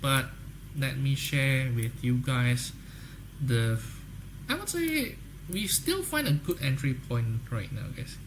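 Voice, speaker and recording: A young man with an Indonesian accent talks to viewers in a loose, promotional way, mid-pitched voice. He keeps looping back to "guys, okay?" as he goes. A faint hiss sits under the voice. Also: very animated, slow. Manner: repeats "guys, okay?" often, upbeat salesy talk. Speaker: trading livestream host. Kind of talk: casual livestream chat with viewers.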